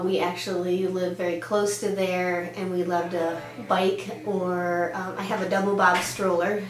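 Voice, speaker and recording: Danish-born woman with an echoey sound. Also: medium pitch. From Denmark. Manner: chatty, with frequent "uh" and "um" fillers. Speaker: pediatrician. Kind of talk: casual interview answers. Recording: echoey room with faint hum.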